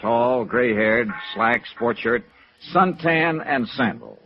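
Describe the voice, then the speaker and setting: An American actor playing a wry, dogged insurance investigator in 1950s radio noir, clipped and matter-of-fact. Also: male voice, medium pitch, slow, lightly acted. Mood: dry, businesslike. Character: wry, dogged male freelance insurance investigator, private-eye type. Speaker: lead actor and narrator. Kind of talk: 1950s radio noir acting and first-person narration. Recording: dull vintage radio recording with music.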